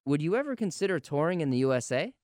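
A man's voice: on the high side, big pitch swings, fast, darkish tone, no echo. A man hosting a music radio interview, putting prepared questions in a polite, courteous manner.

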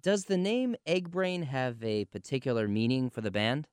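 A man's voice: medium pitch, normal speed, clean tone, no echo, studio quality. A man hosting a music radio interview, putting prepared questions in a polite, courteous manner.

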